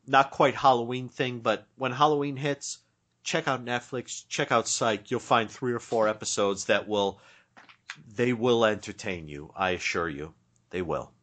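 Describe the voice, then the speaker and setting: A man's voice: mid-range voice, a bit bright. A man co-hosting a casual podcast, slightly stuffed up, rambling and self-deprecating with frequent restarts. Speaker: podcast co-host.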